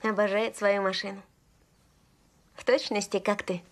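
An actress playing an earnest, lovestruck teenage daughter in a dubbed film drama. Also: female voice, medium pitch, level tone, unhurried, natural voice. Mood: soft, hopeful.

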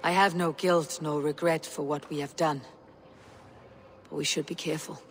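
A woman's voice: deep voice, steady tone, normal speed, a little hiss or hum. An actress playing a steadfast, earnest female Viking warrior in a video game, guarded and sincere in manner. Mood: guarded, tender. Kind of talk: dramatic video game acting.

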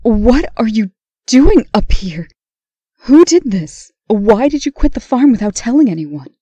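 A slow, mellow and husky heroine played by a woman in a comic dub. She is a serious, weary captive who asks questions with indignation. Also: fairly high pitch, sing-song, dry recording, muffled recording, full character voice. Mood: indignant. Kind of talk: amateur comic dub acting.

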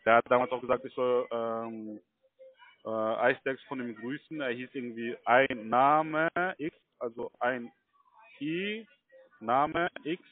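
Halting man on a tinny phone line. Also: mid-range voice, no echo. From Germany. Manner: halting, repetitive, insistent checking tags. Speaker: call center recruiter. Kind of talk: casual phone conversation. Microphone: telephone.

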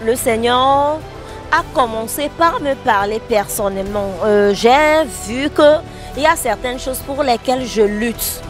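A woman's voice: on the high side, measured pace, soft background music. A young woman giving a testimony in an interview into a handheld microphone in a large hall. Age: young adult. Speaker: convention attendee. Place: large hall with rows of empty chairs.

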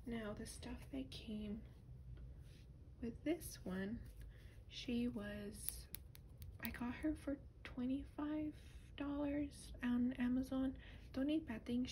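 A woman speaking softly and breathily, often whispering, in a gentle, unhurried ASMR show-and-tell. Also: on the high side. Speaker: doll collector showing her collection. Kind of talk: soft-spoken ASMR product show-and-tell. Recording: noisy lo-fi ASMR recording.

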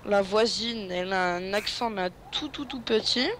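A mid-pitched player rambling playfully through side stories while farming in a co-op game, with a faint hiss under the voice. The talk wanders and jokes freely. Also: moderate pace, fairly bright tone. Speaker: guest co-player. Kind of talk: casual co-op gameplay chat.

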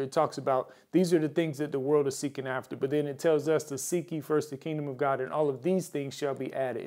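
A man's voice: a little high, warm tone. An American man preaching a mid-week sermon as a pastor, exhorting his listeners directly and repeating key phrases for emphasis. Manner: exhorting, addresses viewers directly. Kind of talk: mid-week church sermon with prayer and scripture.

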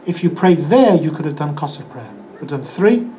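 A man's voice: fairly high pitch, very animated, a little fast, dark tone, slight background noise. An older man teaching a religious class in a small hall, chatty and acting out examples, speaking into a handheld mic. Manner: conversational, acts out excuses in quoted voices. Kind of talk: lecture with audience questions. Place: small hall with projector screen. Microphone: handheld microphone.

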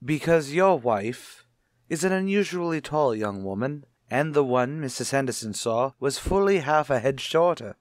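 A shrewd police captain from a retro radio mystery, acted by a man. He probes and needles with sardonic politeness. His medium-pitched voice sits in a dry, close sound. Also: animated, moderate pace, no room sound, close to an everyday voice. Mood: insinuating. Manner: probing, sardonic.

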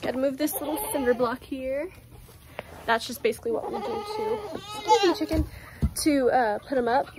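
A young woman with a Southern US accent, talking casually through a how-to farm vlog, full of "um"s and soft, soothing words to her animals. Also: high-pitched, moderate pace, noisy background. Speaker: vlogger caring for farm animals. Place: outdoors on a farm by animal pens. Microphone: phone camera held close, selfie framing.

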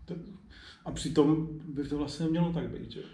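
Higher-voiced male interviewer, slightly distant. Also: fairly even intonation, dark tone. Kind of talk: casual interview chat. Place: indoor spray-paint shop, at a table. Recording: roomy, slightly distant, faint noise.

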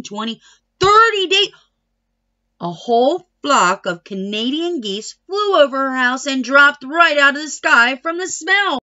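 A woman's voice: high-pitched, sharp tone, dull audio. A middle-aged woman reading a children's picture book aloud expressively, stretching words for emphasis, on a home webcam. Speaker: read-aloud storyteller. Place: small wood-panelled room at home.

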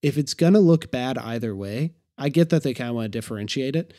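A man co-hosting a casual film review podcast, quick and opinionated. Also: medium pitch, expressive, warm tone. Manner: quick, opinionated, with frequent laughs. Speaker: podcast co-host.

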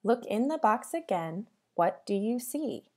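Animated woman reading to young children, unhurried. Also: on the high side. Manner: sing-song, addressing children with questions and pauses. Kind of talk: interactive storybook read-aloud for young children.

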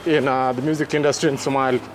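A man interviewing a musician on the street in a relaxed, curious manner. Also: mid-range voice, fast, a little hiss or hum. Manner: curious, laid-back questioning. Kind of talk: street interview, casual chat. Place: city street outdoors.

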